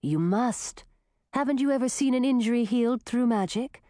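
A woman voicing a female forest spirit who speaks in a calm, measured and knowing way. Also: fairly high pitch, dry recording, studio quality, natural voice.

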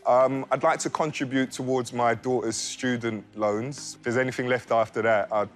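A man in his forties speaking at an ordinary mid pitch. He chats with the host in an easygoing, modest way. Soft music sits faintly behind him. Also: steady tone, normal speed. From Middlesex, England. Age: 40s. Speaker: quiz contestant. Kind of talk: casual chat. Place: TV studio.

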